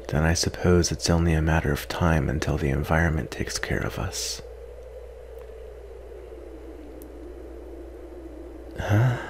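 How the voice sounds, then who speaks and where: A man acting out a proud, sardonic captive vampire in a soft-spoken, disdainful ASMR roleplay. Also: low-pitched, a little hiss or hum. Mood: scornful, exasperated. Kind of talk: ASMR roleplay, acted monologue.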